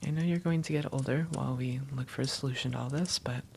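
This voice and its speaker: Woman playing creaky-voiced, affectionate vampire girlfriend. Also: a bass voice, quick pace, darkish tone, slight background noise. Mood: tender, soothing. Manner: playful, tender, soothing. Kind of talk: intimate roleplay audio addressed to a partner.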